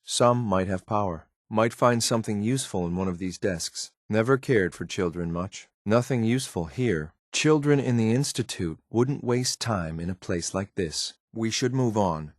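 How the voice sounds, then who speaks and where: An actor playing a grim, cold synthetic soldier in a video game, speaking in a clipped, deadpan, disdainful way. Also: male voice, fairly low pitch, normal speed, few pauses, no room sound, clean studio sound, lightly acted. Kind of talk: scripted video game companion lines.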